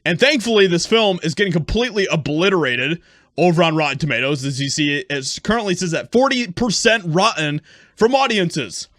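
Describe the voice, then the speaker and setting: Higher-voiced man, scornful video commentator. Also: lively intonation, brisk, fairly bright tone, no room sound, studio quality. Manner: emphatic, scornful. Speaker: YouTube commentator.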